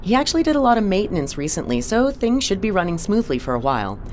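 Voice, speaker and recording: A woman playing a composed, authoritative spaceship captain in an audio drama, measured and businesslike. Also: mid-range voice, very animated, darkish tone, a little hiss or hum, close to an everyday voice. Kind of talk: naturalistic audio drama acting.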